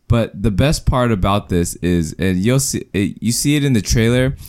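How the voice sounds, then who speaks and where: A man co-hosting a casual film review podcast, talking animatedly in quick bursts with joking asides. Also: lowish voice, darkish tone. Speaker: podcast co-host.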